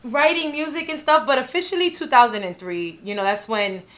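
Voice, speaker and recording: A woman's voice: high voice, brisk, fairly bright tone. A woman, chatting casually as a podcast guest, full of you-knows and likes. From New York, Spanish Harlem. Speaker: guest singer.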